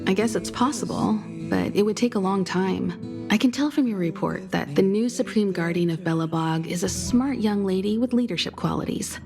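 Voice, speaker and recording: A woman voicing a calm, caring guide in a video game, speaking in a composed, articulate and reassuring way. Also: mid-range voice, moderate pace, vocal fry, close to an everyday voice. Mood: calm, explanatory. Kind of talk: scripted video game dialogue. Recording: music behind the voice.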